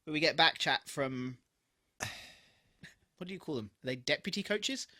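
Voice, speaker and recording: A man with a very bright, sharp voice chatting as a podcast co-host. He is animated and giggly, swears casually and makes fun of himself in his anecdotes. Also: fairly high pitch, normal speed. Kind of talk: casual chat, personal anecdotes.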